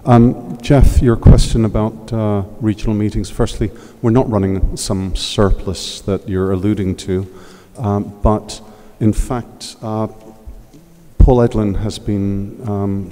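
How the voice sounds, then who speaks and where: A man giving a formal financial report at a meeting, answering questions in a measured, hesitant way with many fillers. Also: on the low side, dark tone. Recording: reverberant hall.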